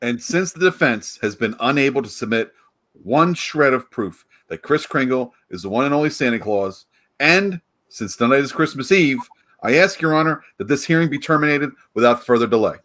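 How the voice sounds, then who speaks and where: A man's voice: medium pitch, expressive, normal speed, fairly bright tone, lightly acted. A man playing a pompous, exasperated district attorney in a 1940s-style radio play. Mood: pompous, insistent.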